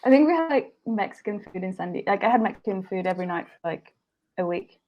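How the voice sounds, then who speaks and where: A woman's voice: medium pitch, expressive, fast, dull audio. A young woman from Sweden, a singer-songwriter talking as a guest in a casual interview, chatty and full of restarts. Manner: chatty, many likes and restarts.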